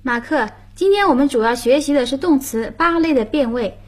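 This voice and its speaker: High, husky woman hosting a radio lesson. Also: lively intonation, normal speed, a little mellow. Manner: explains grammar patiently and prompts her partner. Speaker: radio language lesson host.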